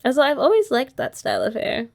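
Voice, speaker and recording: Young woman, girlfriend roleplay ASMR, playful, teasing, chatty, very lively pitch. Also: fast talker, gravelly. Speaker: ASMR creator.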